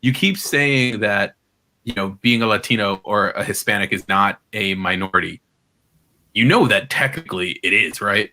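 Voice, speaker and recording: A man's voice: fairly low pitch, moderate pace, sharp tone. A man co-hosting a podcast, talking casually with a joking, sarcastic edge. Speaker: podcast co-host. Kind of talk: casual chat.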